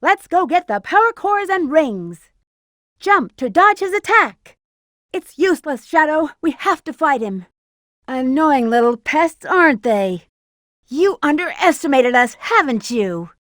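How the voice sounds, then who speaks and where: A woman's voice: high voice, sing-song, unhurried, a bit bright, no echo, clean studio sound, heavily acted. A woman voicing a confident, sly female bat treasure hunter in a video game, with a sassy manner. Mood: taunting, smug.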